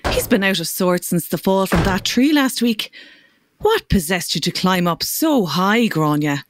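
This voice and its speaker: Lively Irish granny. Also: female voice, fairly low pitch, a little slow, noisy background. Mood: firm. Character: Mamo, kindly but firm Irish grandmother. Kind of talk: audio drama for children.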